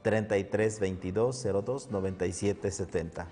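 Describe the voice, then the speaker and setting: A man hosting a live religious call-in show, courteous and gentle, tagging his lines with little questions. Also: fairly low pitch, steady tone, normal speed, big-room sound.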